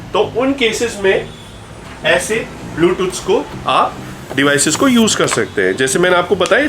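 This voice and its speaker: Higher-voiced Indian man explaining products. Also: a bit bright. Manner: conversational, explanatory, with frequent check-in questions; mixes English words and phrases into speech. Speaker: video host and gear store seller.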